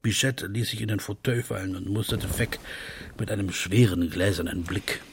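A man's voice: low voice, fairly even intonation, moderate pace, creaky, slight background noise. A man reading scripted literary narration for a radio drama.

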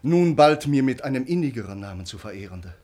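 An actor playing an earnest, brooding count and devoted bridegroom in a formal, declamatory classical German radio play. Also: male voice, medium pitch, big pitch swings, fairly quick, darkish tone, close to an everyday voice. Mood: tender, solemn.

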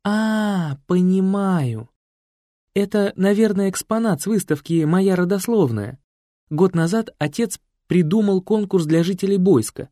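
A talkative, kindly village hostess chatters along with animated pitch swings, as a male audiobook narrator puts her on. The sound is close and dry. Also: measured pace, no echo. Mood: chatty. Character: chatty, kindly village woman, a priest's daughter. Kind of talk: audiobook character voice.

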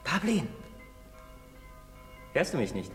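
An actor playing an earnest, dreamy young carpenter's apprentice, speaking in an expressive, declamatory stage manner. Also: male voice, a little high, takes it slowly, soft background music, heavily acted. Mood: elated, dreamy. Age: young adult. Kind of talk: theatrical stage acting. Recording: reverberant theatre hall.

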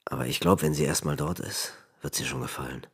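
An actor playing a plain-spoken working-class wagon driver who dreams of farm life, in a German radio play. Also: male voice, very deep voice, a little fast, husky, no room sound, studio quality, exaggerated voice. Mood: hopeful, earnest.

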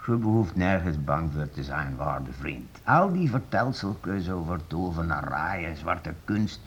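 Sure-of-himself skeptical gentleman, very low voice, lively delivery, muffled old radio play. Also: slightly rough, full character voice. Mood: sceptical. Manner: brisk, confident, dismissive. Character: self-assured, sceptical gentleman companion of a baron. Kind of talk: period radio play acting. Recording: dull old radio recording.

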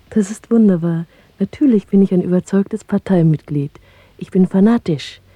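An actress playing a bold, secretive young rebel woman under a dictatorship, deliberate and hushed, in a German radio drama. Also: fairly low pitch, gravelly, husky, no echo. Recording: dry 1970s radio studio, faint music.

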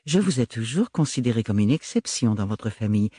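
A man voicing a pompous baron with a smooth, courtly manner. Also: medium pitch, big pitch swings, brisk, dark tone, no echo, studio quality. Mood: flattering. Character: pompous mustached baron, flattering.

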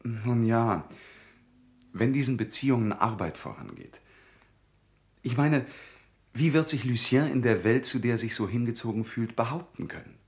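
An actor playing an earnest, troubled young printer and devoted friend in a German radio drama, grave and measured. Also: male voice, medium pitch, lively intonation, close to an everyday voice. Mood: grave, warning.

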